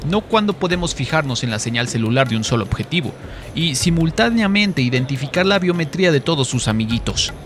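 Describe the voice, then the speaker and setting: A man's voice: lively intonation, fairly quick, fairly bright tone. Man acting a showy arms-fair salesman over music. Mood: smug, confident. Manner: pitching to a crowd, showy. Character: slick male arms-show salesman, showy, smug presenter. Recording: music behind the voice.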